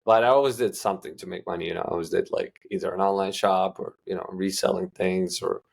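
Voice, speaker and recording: Young guy from uzbekistan, low gravelly voice, chatty and loose, lots of "you know". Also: steady tone, fast. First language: Russian. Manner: loose, filler-heavy, many restarts. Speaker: guest entrepreneur. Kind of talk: casual interview answers.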